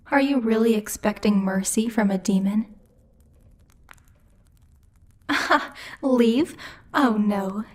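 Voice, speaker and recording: A woman's voice: medium pitch, mellow, close to an everyday voice. A woman playing a bossy, haughty demon lord who teases and mocks imperiously in a theatrical ASMR roleplay. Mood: cold, mocking. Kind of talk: ASMR roleplay, scripted character acting.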